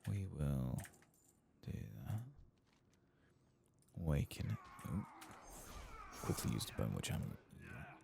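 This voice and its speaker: A soft-spoken man doing whispered ASMR game commentary in a breathy, gravelly voice, musing in an unhurried, hesitant way. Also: a bass voice, very animated, noisy background. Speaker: gaming ASMR host.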